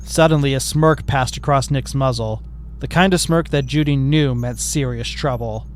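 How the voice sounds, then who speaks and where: A man's voice: mid-range voice, normal speed, slight background noise. A man narrating a fan fiction story in a plain, matter-of-fact way.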